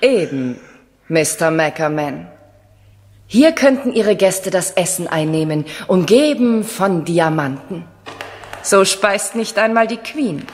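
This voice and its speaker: An actress playing a haughty, shrewd English castle lady with a grand, measured manner in a 1970s German radio play. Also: on the low side, lively intonation. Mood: proud. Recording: reverberant castle hall acoustics.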